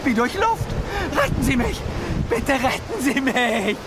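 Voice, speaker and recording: Terrified ship's mate in a radio play, pitch jumping all over, pleading, loud waves behind. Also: female voice, sing-song, unhurried, very noisy. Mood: terrified, frantic. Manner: panicked, breathless, pleading. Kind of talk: German audio drama acting. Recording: loud sea waves and ship din. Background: surf, waves, loud ship ambience.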